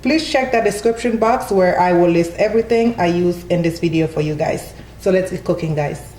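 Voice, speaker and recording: A woman's voice: fairly low pitch, moderate pace, darkish tone, slight background noise. Casual woman host, friendly tutorial voice-over, a bit of room echo. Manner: friendly, chatty, addresses viewers as guys. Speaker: cooking channel host. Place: home kitchen.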